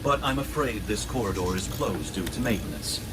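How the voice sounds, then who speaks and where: A man acting a polite, attentive synthetic android in a video game cutscene, courteous, calm and measured in his speech. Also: on the low side, lightly acted. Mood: calm, solicitous. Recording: distant voice under clear ambient noise.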